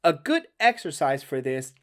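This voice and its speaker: A man's voice: on the high side, very animated, normal speed, fairly bright tone. A man with a Spanish accent, a native Spanish speaker, giving a casual diction lesson in conversational English with emphatic stretched words and some stumbles. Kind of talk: casual instructional talk to camera.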